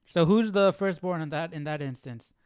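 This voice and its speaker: A man's voice: fairly high pitch, normal speed, darkish tone, clear, resonant tone. A man hosting a live Bible study, chatting informally and thinking aloud in a hesitant, musing way. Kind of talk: casual live-stream chat.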